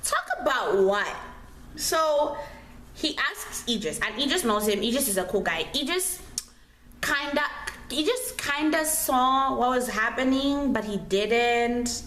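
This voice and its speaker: A woman's voice: fairly high pitch, lively intonation, takes it slowly, a bit bright. A young woman telling a personal story casually, in a chatty, slangy, dramatic way, repeating phrases for emphasis. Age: young adult. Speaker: storytime vlogger. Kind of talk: casual animated storytelling to camera. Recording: roomy echo, clear background noise.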